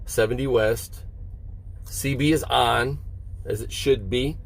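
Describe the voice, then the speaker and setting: A man, a long-haul trucker, talks casually and haltingly to his viewers from inside a parked truck cab, explaining things and checking in with short questions. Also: mid-range voice, fairly even intonation, gravelly, poor audio. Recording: vehicle noise behind the voice.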